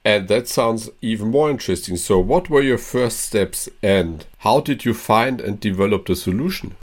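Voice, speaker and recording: A male interviewer in a company interview, upbeat and quick to praise his guests. His voice sits a little low. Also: animated. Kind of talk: corporate interview.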